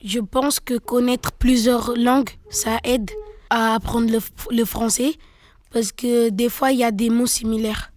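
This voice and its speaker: A young woman in her late teens from Senegal calmly gives a personal testimony as a student. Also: fairly high pitch, unhurried.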